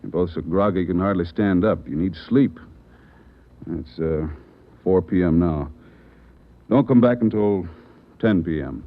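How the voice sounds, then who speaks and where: An actor playing a firm police superior who gives orders briskly, in 1940s radio drama. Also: male voice, sits low. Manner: commanding, brisk. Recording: muffled 1940s radio broadcast.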